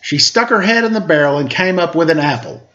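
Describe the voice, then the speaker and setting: A man reading a children's picture book aloud in an animated, expressive way. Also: on the high side, brisk. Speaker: storyteller for children. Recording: slightly dull lo-fi recording.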